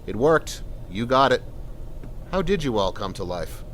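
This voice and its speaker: A man's voice: mid-range voice, very animated, a little slow, a bit bright, slight background noise. A man playing a patient, reassuring police sergeant in an audio drama. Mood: sympathetic. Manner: reassuring, plain-spoken, patient. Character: calm, fatherly male police sergeant negotiating.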